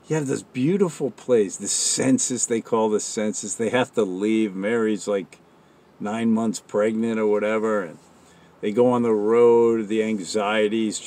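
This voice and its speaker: A man's voice: fairly low pitch, a little mellow. A man giving an informal religious monologue in an earnest, rambling, conversational way. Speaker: religious commentator.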